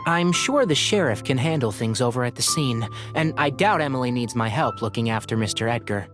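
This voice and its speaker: Man as a lively-toned clever young scholar. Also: medium pitch, a little fast, music in the background, natural voice. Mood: analytical, assured. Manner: articulate, analytical, assured. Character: clever young male forest ranger scholar, calm and precise. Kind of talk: video game voice acting.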